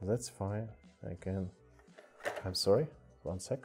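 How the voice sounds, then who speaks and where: Russian-accented man, lowish voice, halting English, webcam mic, faint music behind. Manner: halting non-native English with many fillers. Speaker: streamer showing toy car collection. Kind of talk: casual live stream chat. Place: indoor room with cluttered table.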